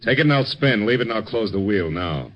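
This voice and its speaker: An actor playing a hard, cool gambling house croupier in an old time radio drama, terse and tough. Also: male voice, lowish voice, lively intonation, a little fast, muffled recording, lightly acted. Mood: cold, challenging. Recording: old radio recording, crowd noise behind.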